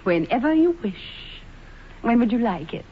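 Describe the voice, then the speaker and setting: Grand, vain theatrical actress. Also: female voice, on the high side, very animated, moderate pace. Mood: coquettish. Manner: grand, self-dramatizing, coolly teasing. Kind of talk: 1940s radio play acting. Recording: dull, noisy old radio recording.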